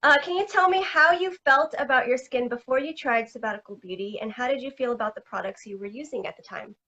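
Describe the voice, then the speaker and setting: A woman interviewer asking friendly questions, upbeat and quick to laugh. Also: fairly high pitch, pushed and bright, dull audio.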